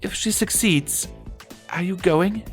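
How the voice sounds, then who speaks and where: Man putting on a high, cheeky young girl pony. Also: takes it slowly, soft background music. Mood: subdued. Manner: pleading, sly, expressive. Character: cheeky, sulky young female pony, daughter.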